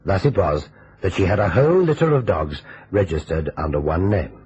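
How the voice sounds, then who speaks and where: A man's voice: low-pitched, animated, normal speed, darkish tone, breathy edge. A man giving formal, explanatory scripted narration for a vintage radio mystery. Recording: muffled vintage radio recording, faint hiss.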